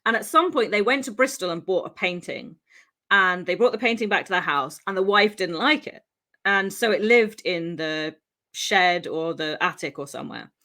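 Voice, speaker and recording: A woman's voice: on the low side, lively intonation, normal speed, sharp tone. A woman from Wales, co-hosting a podcast, tells a true crime story in a chatty, laughing way full of ums and asides, her English carrying faint Welsh traces. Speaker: podcast co-host.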